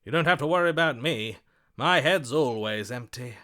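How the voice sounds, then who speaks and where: A man's voice: mid-range voice, animated, normal speed, pushed and bright. A man playing a florid, passionate, lovestruck romantic in a video game, speaking in a theatrical, grandiloquent manner. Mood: passionate, defiant. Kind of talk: video game character acting.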